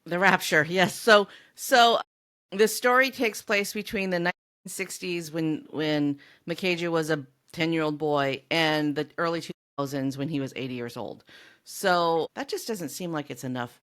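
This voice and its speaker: A woman with a somewhat low-pitched voice co-hosts a book podcast. She speaks conversationally, in the casual style of an informal discussion. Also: normal speed, fairly bright tone. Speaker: podcast co-host.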